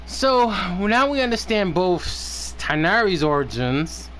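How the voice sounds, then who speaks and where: A man's voice: high voice, sing-song, unhurried, fairly bright tone, slight background noise. A man giving casual, rambling live gameplay commentary, swearing and prone to giggling. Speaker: game streamer.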